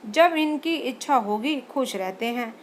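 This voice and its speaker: A woman voicing a timid young wife who speaks softly and pleadingly in a storybook reading. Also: on the high side, animated, measured pace, slight background noise. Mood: tearful. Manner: soft, pleading. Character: timid young wife, eager then tearful.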